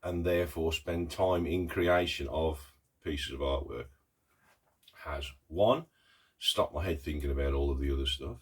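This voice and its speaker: Middle-aged man vlogging, very low husky voice with a croak from a cough, halting and earnest with many pauses. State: hoarse with a cough. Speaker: artist vlogger. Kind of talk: casual talk to camera.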